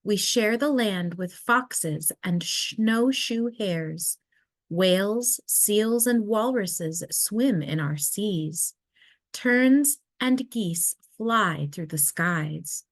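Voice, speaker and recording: A woman voicing a gentle, reassuring mother polar bear from a storybook, soothing and unhurried. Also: mid-range voice, expressive, no room sound, full character voice. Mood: calm, reassuring.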